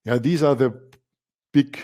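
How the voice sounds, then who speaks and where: Male coaching instructor, German accent, mellow warm tone, emphatic delivery with repeated key phrases. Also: mid-range voice, unhurried. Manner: emphatic, repeats key words, frequent fillers. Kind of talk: masterclass presentation.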